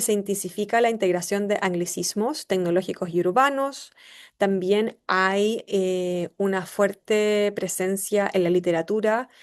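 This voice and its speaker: A woman's voice: mid-range voice, darkish tone, smooth. Chilean woman presenting a class talk. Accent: Chilean Spanish. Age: 40s. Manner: measured explanatory delivery with filler pauses. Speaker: student presenter.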